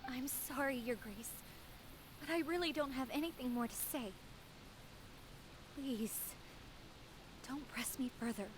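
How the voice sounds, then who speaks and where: A woman's voice: high voice, a little slow, pauses often, background noise. A woman voicing a frightened, deferential young woman in a game, speaking with hesitant, apologetic politeness. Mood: fearful, withdrawn. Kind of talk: scripted game voice acting.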